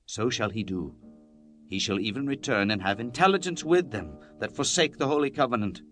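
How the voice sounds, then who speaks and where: A man's voice: mid-range voice, expressive, a little fast, fairly bright tone, faint music behind. A man narrating scripture in a solemn, declamatory style, as in a dramatized Bible reading.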